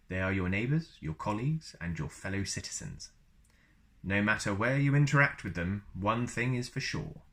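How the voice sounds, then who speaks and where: Guy reading a book out loud for kids, bright lowish voice, chatty little asides. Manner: playful, chatty asides to young listeners. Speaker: storyteller reading a book aloud for a video channel. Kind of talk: read-aloud narration with casual asides.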